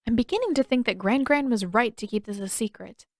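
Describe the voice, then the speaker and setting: A woman's voice: on the high side, brisk, no room sound, studio quality, close to an everyday voice. A woman voicing a wary, exasperated teenage girl in an audiobook, intense and demanding. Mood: worried, demanding. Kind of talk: audiobook character voice.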